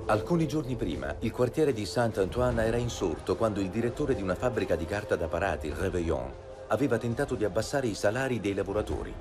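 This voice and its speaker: Documentary narrator, low voice, lively, clear music under. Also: male voice. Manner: measured, even delivery.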